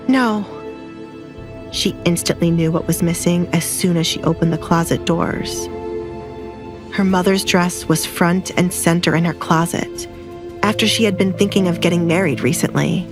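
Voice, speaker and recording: Low-pitched woman narrating a story. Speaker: audiobook narrator. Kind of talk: scripted dramatic story narration.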